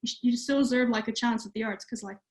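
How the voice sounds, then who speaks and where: A woman's voice: fairly high pitch, fast talker, a little mellow. A teenage girl from Ireland, chatting casually on a livestream and using many fillers. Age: teens. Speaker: youth theatre participant.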